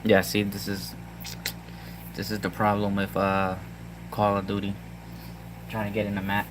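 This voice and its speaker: A man with a Puerto Rican accent chatting casually to his viewers about a handheld gaming device, halting with lots of ums and restarts. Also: on the low side, completely flat delivery, noisy background. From Puerto Rico. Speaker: tech vlogger. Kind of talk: casual rambling tech talk to viewers.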